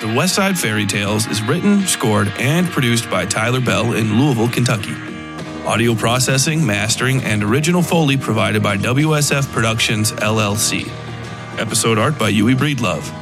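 A man's voice: medium pitch, moderate pace, over music. A man announcing podcast credits in a scripted read.

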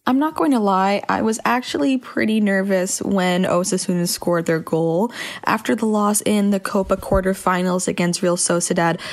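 A woman's voice: medium pitch, normal speed, soft background music. A woman football journalist answering interview questions with articulate, prepared analysis.

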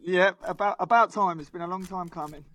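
A man's voice: high voice, brisk, pushed and bright, no echo. A man interviewed as a guest on a radio show, cheerful and self-deprecating, with some hesitation. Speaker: guest, amateur cricket vice captain.

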